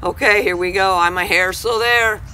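A woman in her sixties hosting a children's storytime, animated as she addresses young listeners outdoors. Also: mid-range voice, normal speed. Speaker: storytime host. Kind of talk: children's read-aloud presenting. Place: outdoors on a house porch. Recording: clearly noisy outdoor camera sound.

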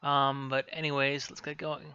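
A man's voice: mid-range voice, monotone, moderate pace, lo-fi sound, background noise. A man giving casual, rambling gaming commentary in a hesitant, self-conscious way. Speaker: game streamer introducing a playthrough. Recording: music behind the voice. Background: game music.

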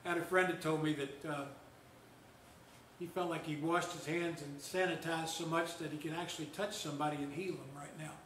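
An older man, a pastor, gives a seated sermon in a measured, storytelling way with gentle humor. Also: on the high side, fairly even intonation, slight background noise. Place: church lounge with fireplace, indoors. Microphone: far camera. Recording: echoey room, voice heard from a distance.

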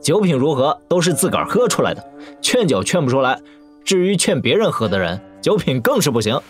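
A man's voice: a little high, big pitch swings, brisk, soft background music. A man playing a playful young prince in an audio drama, casually commanding and full of mock-polite banter. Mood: breezy, hospitable.

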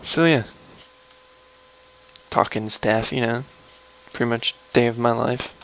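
Slow, gravelly young man gaming. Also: fairly even intonation, fairly bright tone, low-quality recording. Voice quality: low, gravelly voice. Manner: slow, mumbly and rambling, trailing off. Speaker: gamer streaming a mining session. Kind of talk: casual gameplay commentary.

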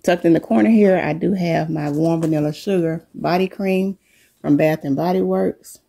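A middle-aged woman coming down with a cold, showing the contents of her bag to viewers in a relaxed, unhurried chat from her living room. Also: lowish voice, gravelly, slightly rough. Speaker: vlogger. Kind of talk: casual show-and-tell chat.

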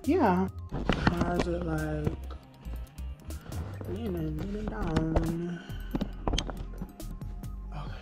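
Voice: medium pitch, drawn-out words, pauses often. Chatty young vlogger in an echoey kitchen. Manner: chatty, rambling, addresses viewers as y'all. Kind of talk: casual chat to viewers. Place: home kitchen, indoors. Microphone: camera set across the kitchen. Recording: echoey kitchen with loud music behind.

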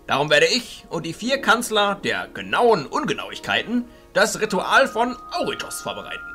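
An old wizard archchancellor in a fantasy radio play. His voice is high and sharply bright, with huge swings in pitch. He declaims with commanding, excitable emphasis over music. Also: full character voice. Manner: declamatory, emphatic, swinging between hushed and booming. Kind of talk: fantasy audio drama acting.

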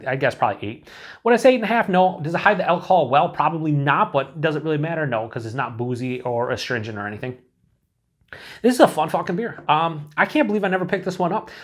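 A man's voice: mid-range voice, a bit bright. A man in his forties, chatting casually to camera as he reviews a beer, thinking aloud with lots of fillers and restarts, in a small indoor room.

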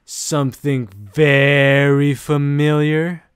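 A man playing a laid-back, cheeky young man in a comedic anime fan dub, casual and dry with a clear voice. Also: on the high side, little pitch movement, slow, noisy background. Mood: curious. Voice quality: smooth, clear.

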